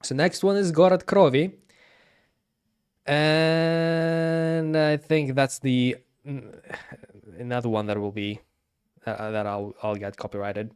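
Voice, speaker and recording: A man streams casual commentary at a medium pitch. He speaks in a halting way, often circling back to repeat a phrase while he makes up his mind aloud. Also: fairly even intonation, a little mellow, clean tone. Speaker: livestreamer. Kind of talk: casual livestream commentary, ranking game cutscenes.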